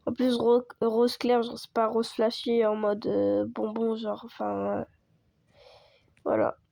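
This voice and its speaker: A woman chatting casually over gameplay as a video creator, hoarse from a cold and rambling with lots of fillers. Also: on the high side, mellow, dry recording. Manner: rambling, full of fillers and restarts.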